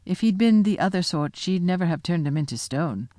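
Woman doing a mild male faun, low soft voice, gossipy, dry close sound. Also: expressive, brisk, no echo, natural voice. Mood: confiding.

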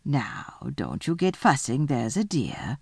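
A woman voicing a motherly, bossy female beaver in a storybook, homely, brisk and coolly practical. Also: lowish voice, big pitch swings, a little mellow, no echo, clean studio sound. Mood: reassuring.

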